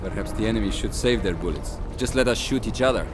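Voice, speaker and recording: A man's voice: on the low side, fast, music in the background, natural voice. Resigned Soviet lieutenant played by a man. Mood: wry, resolute. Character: resigned, earnest young Soviet army lieutenant, dryly wry. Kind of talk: dramatic video game cutscene acting.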